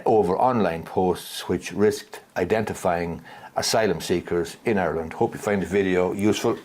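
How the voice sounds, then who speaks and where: Low-voiced man commenting on news. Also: a little mellow. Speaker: online video commentator. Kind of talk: news commentary for an online video.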